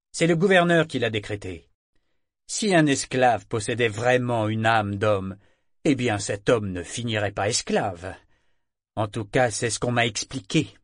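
A man voicing a small, agile slave broker with a gravelly voice and biting false politeness, speaking fast, in an audiobook. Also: medium pitch, dry recording, studio quality, lightly acted. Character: small agile male slave broker, mock-affable and cruel. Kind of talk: audiobook character reading.

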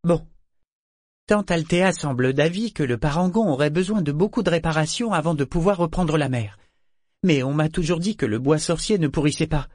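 An eager adolescent girl in an audiobook, played by a male narrator. The pitch is lifted high and the sound is dry. She is polite, anxious and insistent. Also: normal speed, darkish tone, no echo, natural voice. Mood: earnest, reasoning. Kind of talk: audiobook character dialogue.

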